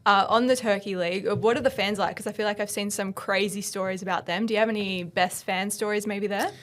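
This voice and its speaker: A woman's voice: mid-range voice, steady tone, fast talker, a bit bright. A woman co-hosting a podcast, asking curious, friendly questions. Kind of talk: casual interview chat.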